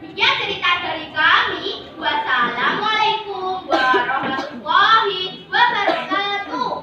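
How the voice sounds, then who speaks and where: A woman's voice: very high voice, lively intonation, a little slow, pushed and bright. A young female contestant performing a memorized religious story in a theatrical, declamatory manner. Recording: echoey noisy hall, muffled, far off.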